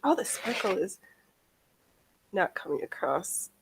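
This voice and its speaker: Female streamer, medium pitch, casual and rambling, easily sidetracked, faintly noisy home mic. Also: big pitch swings, a little slow, creaky. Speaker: livestream host of a sewing and crafting show. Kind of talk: casual livestream chat.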